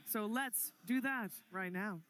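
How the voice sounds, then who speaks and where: Female esports desk host, bright forward tone, live broadcast presentation. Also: mid-range voice, slow, slight background noise.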